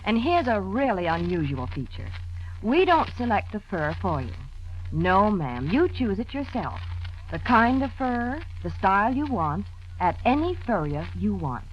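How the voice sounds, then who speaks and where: American woman, 1940s radio ad style, animated, muffled old recording. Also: mid-range voice, a little slow. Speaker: radio announcer. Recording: dull, faintly noisy old radio recording.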